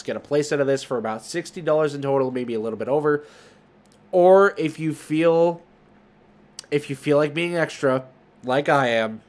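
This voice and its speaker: Male host, slightly high voice, off-the-cuff commentary, emphatic and rambling with self-corrections. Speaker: host of a trading card market video. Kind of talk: casual market commentary, unscripted.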